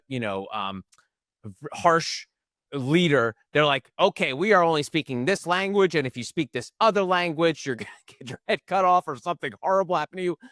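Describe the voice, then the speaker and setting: A male podcast host asks questions in a loose, casual way with lots of fillers. His voice sits a little high for a man. The close, dry recording has almost no room sound. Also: fairly bright tone, no echo. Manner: loose, chatty, filler words.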